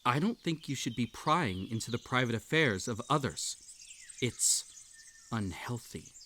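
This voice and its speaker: Slow-talking, unhinged bunny host played by a man. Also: mid-range voice, expressive, pushed and bright, slight background noise. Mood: menacing, unstable. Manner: coaxing, falsely polite, theatrically unstable. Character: unhinged, possessive Ether Bunny playing a sinister tea-party host. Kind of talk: scripted roleplay audio drama addressed to the listener.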